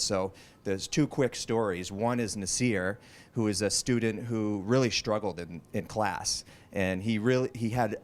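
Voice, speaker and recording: Halting man speaking at a meeting. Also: fairly low pitch. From Vermont. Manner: halting, many fillers and restarts. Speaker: school program speaker. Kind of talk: public talk at a meeting. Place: community meeting room. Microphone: handheld mic.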